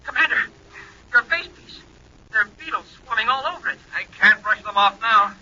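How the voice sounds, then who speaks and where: An actor playing an earnest, excitable young space cadet sidekick in a 1950s radio drama. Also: male voice, high voice, a little fast. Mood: alarmed. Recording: dull old radio recording with faint hiss.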